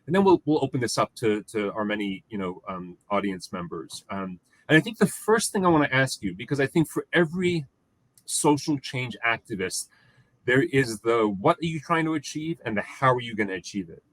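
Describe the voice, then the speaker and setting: A man's voice: mid-range voice, animated, no room sound, rough recording. A man moderating a panel discussion, introducing guests and asking questions with frequent restarts and fillers. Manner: halting, many restarts and fillers.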